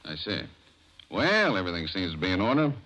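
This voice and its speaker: An actor playing a brisk, courteous but probing police officer in old radio drama style, plainspoken and official. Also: male voice, sits low, very animated, bright, forceful tone, dull audio, heavily acted. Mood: affable. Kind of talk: 1940s radio acting.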